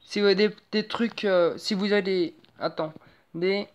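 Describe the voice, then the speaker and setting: A woman's voice: mid-range voice, steady tone, normal speed. A young woman hosting her own channel, chatting casually over a phone screen recording with lots of fillers and restarts. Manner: rambling, hesitant, many fillers and restarts. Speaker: channel host.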